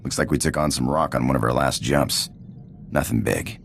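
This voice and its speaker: A man playing a cocky, roguish space pirate in a video game, with a gravelly drawl, casual slang and a flirtatious swagger. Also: animated, moderate pace, slight background noise, heavily acted. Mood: breezy, storytelling. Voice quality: gravelly, very deep voice. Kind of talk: video game character acting.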